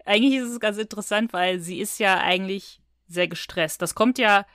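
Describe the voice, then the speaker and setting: A woman's voice: mid-range voice, a bit bright, no room sound, clean studio sound. A woman co-hosting a podcast, giving frank opinions in casual, colloquial chat about audio dramas. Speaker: podcast co-host.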